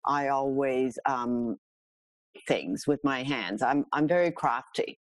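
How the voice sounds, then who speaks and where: A woman's voice: a bass voice, takes it slowly, no echo. A middle-aged woman from Hong Kong telling her own story casually and candidly as a podcast guest. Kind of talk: casual autobiographical interview talk.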